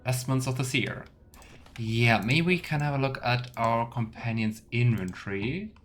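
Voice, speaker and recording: A man's voice: medium pitch, a little slow. A man giving casual let's play commentary, thinking aloud in loose fragments. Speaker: game streamer. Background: faint game music.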